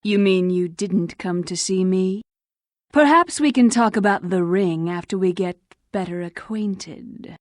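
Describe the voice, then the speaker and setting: An actress playing a seductive, teasing thief in a fantasy video game, drawling flirtatiously. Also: female voice, mid-range voice, unhurried, dry recording, studio quality, lightly acted.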